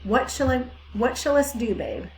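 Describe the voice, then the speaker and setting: A woman voicing a bossy, motherly old ewe with a hoarse, croaking voice and blunt rustic country dialect. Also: a little high, lively intonation, normal speed, a little mellow, slight background noise, voice pushed up. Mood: agreeable. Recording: echoey room.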